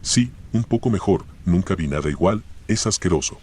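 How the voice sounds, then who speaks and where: An actor with an Argentine accent playing a shaken, queasy police officer in a radio drama, with a husky voice. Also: male voice, low voice, animated, moderate pace, a little mellow. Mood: shaken, subdued.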